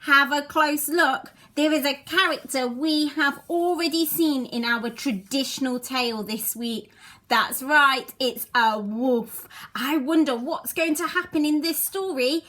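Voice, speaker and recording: A woman teacher reading a children's story expressively, with deliberate, child-directed delivery. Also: sits high, pushed and bright.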